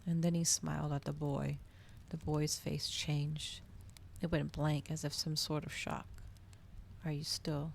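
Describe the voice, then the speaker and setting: A woman with a low voice full of vocal fry reads in a soft ASMR style. She keeps it hushed, often dropping toward a whisper, as if telling a bedtime story. There is faint noise behind her. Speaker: streamer reading a book aloud.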